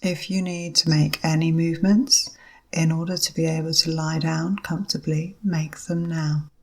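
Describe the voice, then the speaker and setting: A woman leading a guided yoga nidra meditation as instructor, giving measured instructions in short phrases with pauses between them. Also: low-pitched, little pitch movement.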